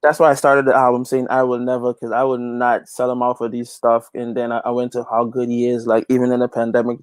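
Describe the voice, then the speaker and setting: A man's voice: medium pitch, completely flat delivery, fast talker, smooth, no room sound. A man from Guyana, talking as an interview guest in an earnest, emphatic, preacher-like way, repeating phrases for emphasis. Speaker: interview guest, gospel reggae singer and youth pastor. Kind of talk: conversational interview, preaching-like testimony.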